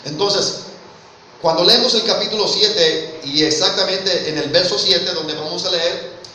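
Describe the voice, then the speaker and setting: A man's voice: high-pitched, a little slow, pushed and bright, distant mic. A man from Mexico with a Mexican Spanish accent, preaching a sermon in church in an earnest way, often calling listeners "brother" and asking rhetorical questions. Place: church hall. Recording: very echoey hall, mic from afar, faint noise.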